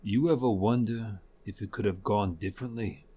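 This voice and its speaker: A man playing an eerily calm, cryptic stranger in a supernatural audio drama, speaking tersely with a deep, husky voice. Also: moderate pace. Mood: calm, coaxing. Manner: terse, unruffled, cryptic delivery. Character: eerily calm, cryptic male stranger behind store counter.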